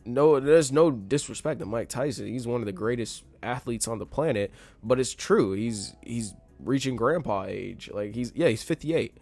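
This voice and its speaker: A man's voice: medium pitch, moderate pace, few pauses, a little mellow, soft background music. A congested man with a slightly nasal voice, hosting his own casual solo commentary and rambling through restarts with bursts of emphasis. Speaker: host.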